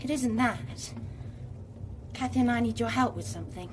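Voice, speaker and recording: A woman's voice: fairly high pitch, moderate pace, a little hiss or hum, close to an everyday voice. A woman acting in a radio drama as an earnest, insistent teenage daughter who is sure of her hunches. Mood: pleading. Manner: insistent, eager.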